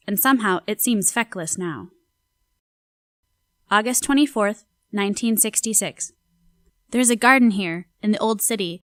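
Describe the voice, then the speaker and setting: A woman voicing a 1960s anthropologist who reads her field journal in a measured, reflective way. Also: fairly high pitch, a bit bright, dry recording, clean studio sound. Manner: measured, reflective diary reading. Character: female anthropologist in the 1960s, curious and uneasy. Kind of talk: dramatic reading of a journal.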